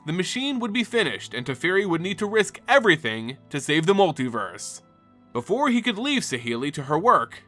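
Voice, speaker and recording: Bright, high-voiced man narrating dramatically. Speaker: host and narrator of a lore channel. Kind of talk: dramatic scripted story narration. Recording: music behind the voice. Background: background music.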